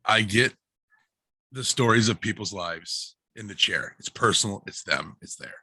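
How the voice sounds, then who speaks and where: Male storyteller, low and a little rough, casual slangy anecdotes, close dry sound. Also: expressive, normal speed, sharp tone, dry recording. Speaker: guest, barber and livestreamer. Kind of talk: casual personal anecdotes in a group video chat. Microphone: headset on a video call.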